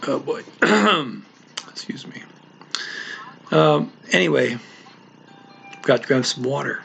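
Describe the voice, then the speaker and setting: A man, a language teacher, giving a casual weekly channel update in a halting way, clearing his throat and using many fillers. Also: a little high, lively intonation, a bit bright, soft background music, poor audio.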